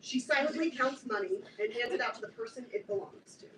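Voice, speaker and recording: A woman's voice: on the high side, brisk. A woman reading scripted stage directions at a staged play reading. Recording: roomy, distant mic, clear noise.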